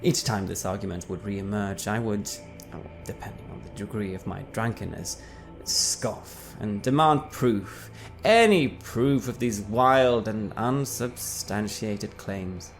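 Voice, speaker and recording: A man giving a dramatic first-person reading as his character, measured and wry, letting phrase endings trail off softly. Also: fairly low pitch, faint music behind. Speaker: player reading character backstory.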